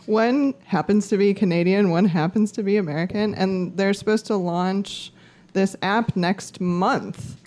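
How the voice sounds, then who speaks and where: Slow, thoughtful woman in a chat. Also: medium pitch, darkish tone. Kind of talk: casual chat.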